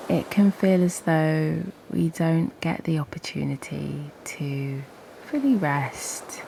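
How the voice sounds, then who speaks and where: Slow, low, half-whispering woman guiding meditation. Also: background noise. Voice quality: soft, breathy, half-whispered. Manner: gentle, unhurried, with long pauses. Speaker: host and guided breathwork meditation teacher. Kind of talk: guided meditation, slow and soothing.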